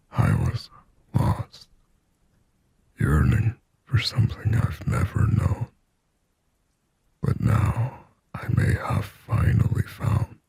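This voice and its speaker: A lonely, yearning demon acted by a man murmurs in an extremely low, dark whisper. The delivery is halting and slow, breathy and possessive, as intimate ASMR roleplay spoken right against the listener's ear. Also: no echo, full character voice. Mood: yearning, melancholy. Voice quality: breathy, husky whisper with low gravelly rasp. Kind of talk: scripted ASMR roleplay monologue. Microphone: close microphone, dry.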